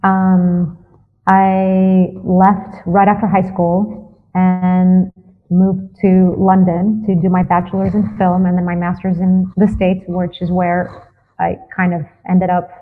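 A young woman from Greece, a guest in a casual interview, thoughtful, often pausing with fillers before she answers. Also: lowish voice, completely flat delivery, faint music behind. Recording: tinny phone-like line.

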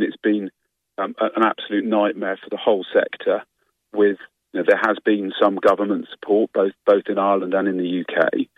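A man speaking for a trade guide as a radio guest, earnest and measured, with stumbling starts. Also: fairly low pitch, dry recording, narrow, tinny audio. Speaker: industry guide representative, guest. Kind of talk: radio interview.

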